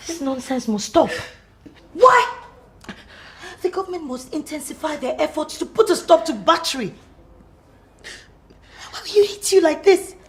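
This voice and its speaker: A woman's voice: on the high side, expressive, moderate pace, a little mellow, close to an everyday voice. Woman playing an indignant scolding friend. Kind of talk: melodrama acting.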